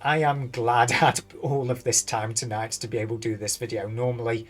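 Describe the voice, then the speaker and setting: A British man reading game patch notes aloud and rambling on them, tired, his voice going hoarse. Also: mid-range voice, steady tone, fairly quick, soft background music. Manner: rambling, thinks aloud, loses his words. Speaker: gaming YouTuber.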